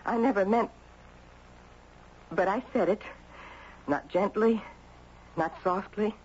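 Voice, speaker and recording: An actress playing a guilt-ridden, grieving middle-aged mother in halting, confessional 1970s radio drama style. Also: medium pitch, darkish tone, lightly acted. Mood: anguished, remorseful. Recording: muffled, noisy 1970s radio recording.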